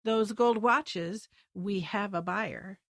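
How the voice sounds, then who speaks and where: A sultry femme fatale villain voiced by a woman for a noir radio drama. She is coy and taunting, speaking slowly at a medium pitch in a dry recording. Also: no echo. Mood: gloating.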